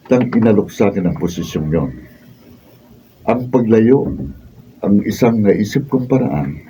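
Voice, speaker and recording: A Filipino man acting as an earnest, steadfast young husband and father in a radio drama, speaking in solemn, measured declarations. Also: low voice, dark tone. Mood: reflective, hopeful. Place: recording studio, behind-the-scenes camera recording. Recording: roomy distant mic, faint background noise.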